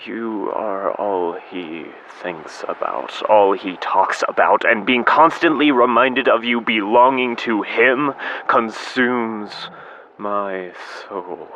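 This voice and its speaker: A man playing an intense younger-brother sailor in a 1940s radio play, with a theatrical, deliberate delivery. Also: fairly high pitch, bright, forceful tone, slight background noise, heavily acted. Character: intense American sailor, jealous younger brother, falsely hearty. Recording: dull radio-style audio, faint music.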